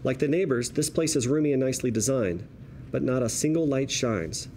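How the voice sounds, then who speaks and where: Male table-read narrator, warm relaxed tone, measured descriptive delivery of stage directions, faint background noise. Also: medium pitch.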